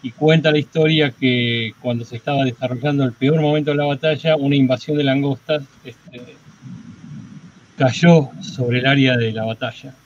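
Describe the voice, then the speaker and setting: Slightly noisy, dull lo-fi audio carries an Argentine man's voice. He speaks at a medium pitch, explaining history informally and haltingly, like a participant sharing with a group. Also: steady tone. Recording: dull lo-fi sound with noise.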